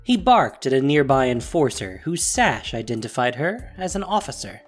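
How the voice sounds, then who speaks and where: A man's voice: mid-range voice, sing-song, normal speed. A man narrating a scripted audio drama story with theatrical phrasing and emphatic stresses. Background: music.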